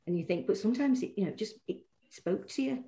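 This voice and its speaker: A woman's voice: medium pitch, lively intonation, quick pace, mellow. A middle-aged woman with a Mancunian accent, a poet chatting in an interview with many restarts and fillers. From Manchester, England. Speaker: poet and guest. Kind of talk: casual interview chat.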